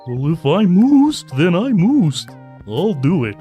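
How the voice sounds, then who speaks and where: A man voicing an earnest, grieving talking bull with a mellow voice and a slow delivery in an audio horror comedy. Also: high voice, sing-song, faint music behind, full character voice. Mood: anxious, eager. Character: earnest, grieving talking bull seeking his wife's return.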